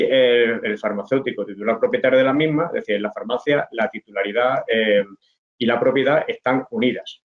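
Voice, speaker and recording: A man's voice: mid-range voice, dull audio. Middle-aged man talking over slides on a webcam. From Spain. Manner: hesitant, many fillers and restarts, explaining to students. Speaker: pharmacist giving a webinar talk. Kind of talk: informal online presentation over slides. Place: indoors at a desk. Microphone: webcam video call.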